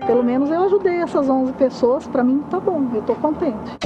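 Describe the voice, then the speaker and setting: A woman from São Paulo state speaks earnestly in an interview, pausing and restarting as she recounts. Her voice is high. The recording sounds slightly dull, with faint music underneath. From São Paulo state, Brazil. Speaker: businesswoman interviewee. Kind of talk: interview testimony.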